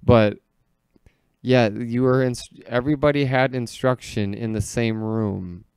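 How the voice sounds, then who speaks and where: A man's voice: mid-range voice, steady tone, a little slow, a little mellow, smooth, no room sound. An American man telling stories in casual, comedic podcast chat, joking and peppering his talk with "like".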